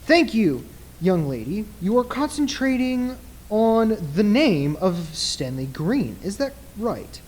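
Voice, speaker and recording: A man playing a commanding stage mind reader in a radio play, theatrical, deliberate and insistent. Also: high-pitched, big pitch swings, darkish tone, full character voice. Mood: showy.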